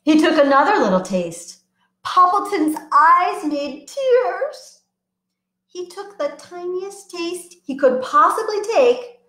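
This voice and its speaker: A children's librarian, a woman, reading picture books aloud and coaxing young children to join in with an animated, sing-song manner. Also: very high voice, takes it slowly, roomy sound.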